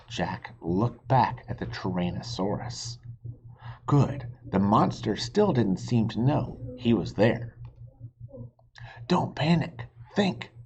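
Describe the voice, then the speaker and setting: A man's voice: fairly low pitch, creaky, darkish tone, slightly rough. A man reading a children's storybook aloud expressively, like a teacher, on a slightly muffled recording. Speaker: reader, teacher.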